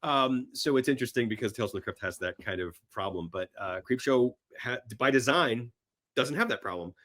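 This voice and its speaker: A man as a podcast guest chatting casually and enthusiastically about films, telling stories in an animated, emphatic way. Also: mid-range voice, fairly quick. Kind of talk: enthusiastic casual film chat.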